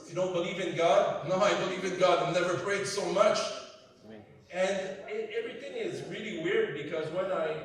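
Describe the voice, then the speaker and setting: A man in his fifties with a French Canadian accent gives a conference keynote, his voice pitched fairly high for a man. He speaks with emphasis in short, repeated phrases, since French is his first language. He stands back from the mic, and the large hall echoes heavily around him. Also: fairly bright tone, distant mic. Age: 50s. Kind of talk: conference speech.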